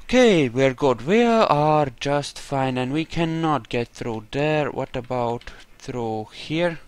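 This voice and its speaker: A man's voice: medium pitch, animated, slow, clear, resonant tone. A man giving casual roleplay commentary as he plays a video game, muttering to himself with playful exclamations. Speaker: gamer, let's play host.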